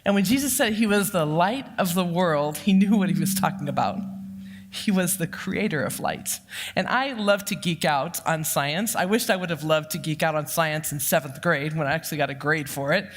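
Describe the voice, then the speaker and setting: A woman in her fifties with a loud voice, giving a lively, humorous sermon full of personal stories. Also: sits low, normal speed. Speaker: church counseling director giving the sermon. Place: church sanctuary. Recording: long reverb of a church hall.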